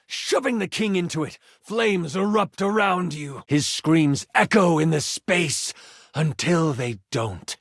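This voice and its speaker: A playful, theatrical game master for a video game, telling a fantasy tale with suspense. The voice sits a bit high for a male and moves very expressively. It is recorded close, with no room sound. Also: no room sound. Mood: dramatic narrating. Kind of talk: dramatic tabletop fantasy narration.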